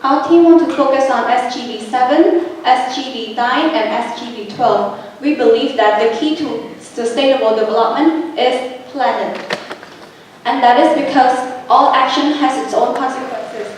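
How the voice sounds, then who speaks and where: A woman's voice: sits high, normal speed. A young woman from Cambodia giving an earnest, rehearsed formal team presentation. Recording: very echoey room, distant mic, faint noise.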